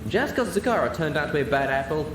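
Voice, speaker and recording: A man's voice: fairly high pitch, expressive, quick pace, echoey room, far from the mic, background noise, voice pushed up, lightly acted. A man voices a storybook farm pony mare in a raised register. She has a Southern US accent and a folksy, stubbornly frank way of talking. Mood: insistent. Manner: folksy, insistent.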